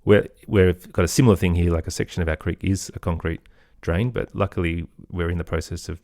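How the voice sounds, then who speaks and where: A man with an Australian accent, talking as an interview guest in an agreeable, conversational way with softened phrasing. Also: sits low, steady tone, fairly quick, gravelly, no room sound, clean studio sound. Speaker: podcast guest, musician and composer.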